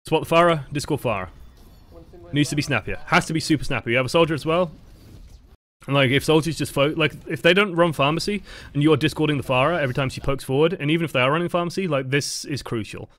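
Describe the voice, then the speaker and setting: A young man casually commenting on game footage as a coach, relaxed and chatty, with the odd swear word. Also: on the high side, fast talker.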